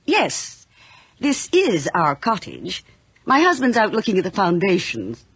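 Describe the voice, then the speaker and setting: Very animated, husky middle-aged woman. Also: mid-range voice, a little slow, dry recording, exaggerated voice. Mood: motherly, chatty. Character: chatty, kindly middle-aged married cottage owner. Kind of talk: naturalistic radio drama acting.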